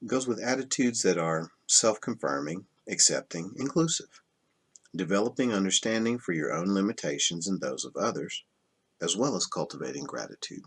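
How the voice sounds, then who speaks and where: Deep-voiced man reading aloud, slightly husky. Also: lively intonation, normal speed, fairly bright tone. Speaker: reader of a self-help book. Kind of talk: read-aloud spiritual nonfiction narration.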